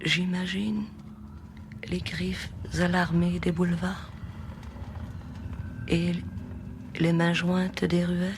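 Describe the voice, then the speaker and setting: A woman reciting poetry in an experimental sound-art piece, deliberate and often slipping into a whisper. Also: fairly low pitch, level tone, a little hiss or hum. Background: music.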